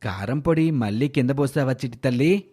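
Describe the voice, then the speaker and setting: Casting: man as an affectionate village dad for a cartoon moral tale, mid-pitched, lively intonation. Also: moderate pace, breathy edge. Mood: gentle. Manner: affectionate, addressing his child tenderly. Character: gentle, caring village father, middle-aged labourer. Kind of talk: animated moral story dialogue.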